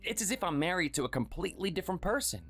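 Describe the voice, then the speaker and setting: A performer voicing a meek, sickly man in his thirties who speaks softly and hesitantly, always apologizing. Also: high voice, big pitch swings, racing, faint music behind, heavily acted. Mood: wistful. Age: 30s. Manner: soft, hesitant, pleading. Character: meek sickly young husband, timid and apologetic.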